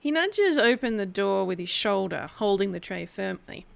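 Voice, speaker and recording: A woman's voice: medium pitch, sing-song, normal speed, creaky. A woman casually improvising narration of her character's actions as a player in a role-play.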